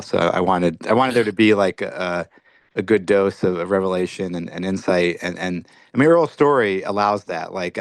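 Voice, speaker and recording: A man's voice: low-pitched, no echo. A man who grew up in Belgium, speaking as an author guest in a podcast interview, reflective and full of restarts.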